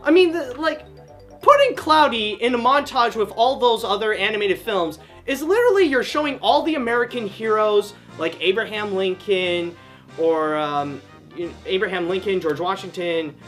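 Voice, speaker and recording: A man's voice: high-pitched, expressive, moderate pace, a bit bright. A man in an unscripted, indignant rant, stammering and repeating himself. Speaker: animation reviewer. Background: background music.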